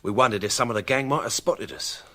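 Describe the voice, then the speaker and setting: A husky, wary small-time crook. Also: male voice, mid-range voice, fast, sharp tone. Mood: shaken. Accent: British. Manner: plain-spoken, defensive, uneasy. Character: wary small-time crook, loyal racetrack regular, man. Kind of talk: radio drama acting.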